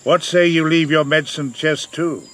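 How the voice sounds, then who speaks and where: A man's voice: fairly high pitch, fairly even intonation, normal speed, a bit bright, natural voice. A man playing a wily old pirate captain in an audio adventure, mock-friendly and menacing, talking in a sly rustic seafaring dialect. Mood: sly bargaining.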